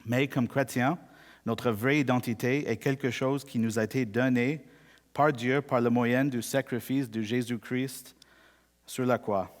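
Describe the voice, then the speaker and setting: A Canadian man whose first language is English, preaching a sermon in measured, deliberate speech and slowing down to read scripture. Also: mid-range voice, fairly even intonation, big-room sound.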